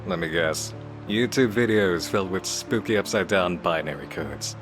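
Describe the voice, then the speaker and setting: A man's voice: deep voice, moderate pace, music in the background, natural voice. A man playing a sly, playful demon detective in a visual novel, teasing and theatrical as he condescends to humans. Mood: condescending, bored. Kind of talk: scripted visual novel voice acting.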